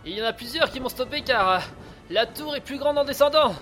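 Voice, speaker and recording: A man's voice: extremely high pitch, lively intonation, brisk, a bit bright, faint music behind. A man playing a kindly, eager inventor and devoted brother in an audio drama, chatty and a little hesitant. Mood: excited, caring.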